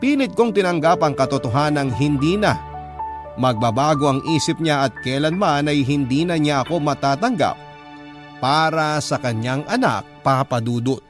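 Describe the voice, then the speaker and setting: A man's voice: on the high side, lively intonation, moderate pace, music in the background, natural voice. An actor playing an earnest, wistful young man who narrates his love story in a scripted, reflective radio drama style. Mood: wistful, resigned.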